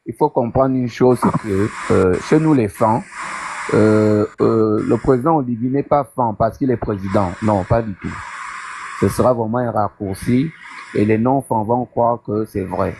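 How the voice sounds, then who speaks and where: A man's voice: lowish voice, creaky. A man calling in to a live panel, hesitant and full of fillers; French is not his first language. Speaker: caller on a panel. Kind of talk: call-in comment. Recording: faint noise and crackling line.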